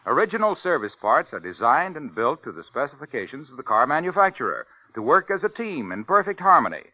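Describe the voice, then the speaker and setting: A man's voice: medium pitch, big pitch swings, fast, slightly rough, dry recording. A man delivering a scripted 1950s radio commercial as an announcer, in an emphatic salesman's manner. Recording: dull 1950s radio broadcast recording.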